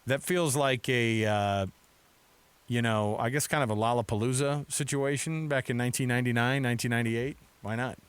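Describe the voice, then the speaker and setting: Chatty American sports radio host, dry studio sound. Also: male voice, mid-range voice, steady tone, moderate pace, clean tone, dry recording. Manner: chatty, rambling anecdotes. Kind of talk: casual sports radio chat. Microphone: close studio microphone.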